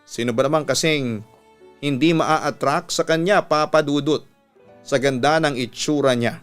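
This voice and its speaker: A man's voice: fairly high pitch, measured pace, a bit bright, smooth. A man with a Filipino accent, a radio storytelling host, reading a listener's letter as scripted narration. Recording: music bed under the voice. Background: instrumental music.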